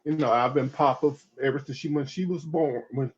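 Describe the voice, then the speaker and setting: A man's voice: mid-range voice, steady tone, moderate pace, husky. A man in his fifties talking casually on a podcast, rambling with many restarts and repeated "I mean"s. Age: 50s. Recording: dull lo-fi audio.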